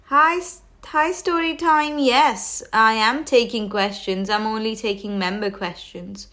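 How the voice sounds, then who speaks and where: A woman giving a live tarot reading at an unhurried, slow pace. She rambles, restarts her sentences and leans on fillers, with a cold starting to catch her voice. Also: on the high side, fairly bright tone. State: coming down with a cold. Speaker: tarot reader hosting a livestream.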